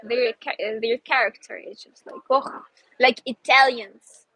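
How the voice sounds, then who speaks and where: A young Ukrainian woman speaks English in a high, bright voice as she hosts an outdoor live stream. She sounds chatty and upbeat and sometimes stops to search for the right word. The sound is dry and close. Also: steady tone, unhurried, no echo, rough recording. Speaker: host, English teacher. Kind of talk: casual live stream chat. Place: outdoors in a city square.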